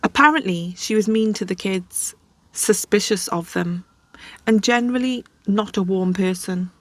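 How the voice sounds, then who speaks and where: A woman tells a story as if chatting with a friend. She talks casually and drops in conversational asides. Her voice sits at an ordinary middle pitch. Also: measured pace, no echo. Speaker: host.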